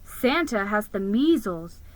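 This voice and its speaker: A woman's voice: medium pitch, sing-song, takes it slowly, poor audio. A woman voicing a polite, timid male mouse, the hero of a storybook, speaking hesitantly. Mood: resigned.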